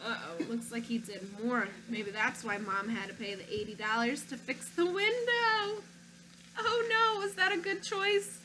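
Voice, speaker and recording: A woman teacher reading a storybook aloud to young children, gently asking them questions between pages. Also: fairly high pitch, unhurried, slight background noise, low-quality recording. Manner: gentle, asks children questions, sings a short song.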